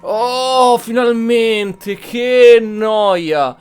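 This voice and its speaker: A man's voice: very high voice, very slow, smooth, soft background music. An Italian man giving casual, blunt, colloquial commentary as he plays a video game. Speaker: gaming streamer.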